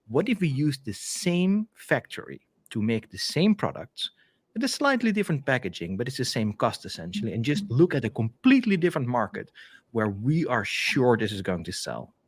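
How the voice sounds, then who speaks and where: A Dutch-accented man co-hosting a live tech stream, talking through his demo in a loose, chatty way with lots of "um"s and restarts. His pitch rises and falls a great deal as he explains things. The sound is dry and close, as if heard on a video call. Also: no echo. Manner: chatty, animated, many fillers and restarts. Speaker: developer advocate co-hosting a stream. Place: home office, video call.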